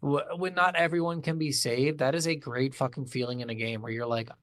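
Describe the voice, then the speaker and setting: A man's voice: medium pitch, fairly even intonation, fairly quick, a bit bright, no room sound, clean studio sound. A man co-hosting a game podcast, chatting casually and bluntly with plenty of swearing and strong opinions. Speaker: podcast co-host reviewing video games. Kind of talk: casual unscripted chat recapping a game.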